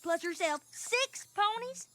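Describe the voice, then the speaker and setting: A woman voicing an excitable young female cartoon pony in animated dialogue, chattering eagerly. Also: very high-pitched, takes it slowly, heavily acted. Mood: emphatic.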